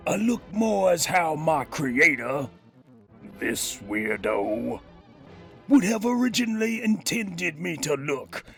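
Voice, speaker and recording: A man's voice: mid-range voice, creaky, fairly bright tone, full character voice. A man voicing a cocky cybernetic gangster villain who hosts a toy review, talking in theatrical, slangy old-time mobster style. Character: cybernetic master criminal gangster, cocky, streetwise action-figure villain. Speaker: host of a toy review show. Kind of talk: in-character scripted review monologue.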